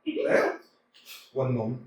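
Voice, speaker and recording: A man's voice: mid-range voice, wildly swooping pitch, slow. A man playing a stammering nervous suitor. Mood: flustered. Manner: stammering, flustered, nervous laughs. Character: nervous anxious 35-year-old suitor, hypochondriac. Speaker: actor in a staged reading. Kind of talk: staged play reading. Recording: roomy hall from a distance, faint hiss.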